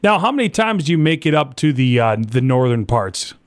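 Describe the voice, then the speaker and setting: Lively joking male radio host. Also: mid-range voice, brisk. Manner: joking, friendly, laughs easily. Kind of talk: casual interview.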